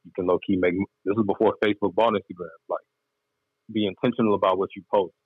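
A man's voice: low voice, fairly even intonation, quick pace, breathy edge, no echo, phone-quality sound. A man guest on a chat show, telling his story candidly in laid-back slang. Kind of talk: casual interview chat.

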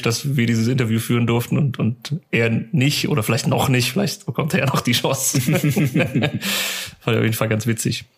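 Male podcast co-host, medium pitch with plenty of creak, informal and easygoing. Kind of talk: casual podcast chat.

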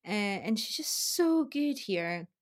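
A woman's voice: high voice, very animated, slow. Woman guest, enthusiastic chat. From Brazil. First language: Portuguese. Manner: thoughtful, enthusiastic, laughs often. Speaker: podcast guest. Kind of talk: casual podcast chat.

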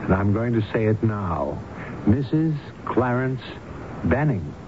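Calm, probing physician, reassuring and deliberate, vintage radio drama sound with clear background noise. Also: male voice, on the low side. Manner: measured, reassuring, questioning. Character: male doctor and hypnotist, calm, probing, thoughtful. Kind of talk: 1970s radio drama acting. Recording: dull noisy old radio broadcast recording.

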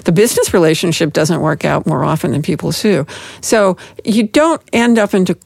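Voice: medium pitch, expressive, moderate pace, vocal fry, a little mellow, no echo. A middle-aged business lawyer on a panel, explaining at length with frequent restarts. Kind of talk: panel discussion.

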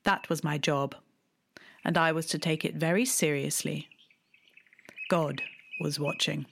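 A woman, telling a personal memoir in a wry, deliberate way, with hushed asides. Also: low voice, steady tone, vocal fry. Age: 30s. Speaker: storyteller. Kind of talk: memoir storytelling.

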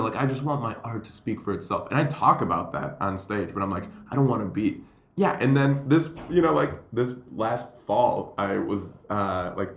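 A man from Puerto Rico, a comedian telling stories in a rambling, animated way on a podcast. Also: lowish voice, gravelly. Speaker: comedian and guest. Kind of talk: casual podcast chat. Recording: roomy echo.

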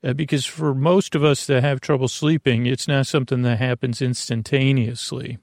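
Male sleep podcast host, gravelly and close-sounding in a dry space, meandering and halting through whimsical bedtime rambles. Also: medium pitch, level tone, darkish tone, no room sound. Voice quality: creaky, gravelly. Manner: meandering, halting, repeats words and drifts into whimsical tangents.

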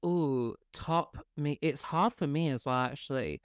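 A man's voice: a little high, smooth, dry recording, studio quality. Sassy, campy man co-host, Spanish native. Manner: sassy, campy, quick with shade. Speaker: podcast co-host. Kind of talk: casual chat.

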